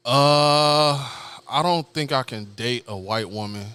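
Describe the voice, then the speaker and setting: A man from New York City, a guest on a podcast, talking in a laid-back, slangy, soft-spoken way about his art gallery business. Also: mid-range voice, slow, clear, resonant tone, no room sound. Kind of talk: casual podcast conversation.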